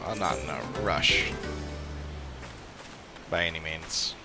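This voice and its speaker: A man's voice: a bass voice, slow. A man chatting casually and unscripted on a live game stream, rambling as he thinks aloud. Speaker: live streamer playing an online role-playing game. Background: game music.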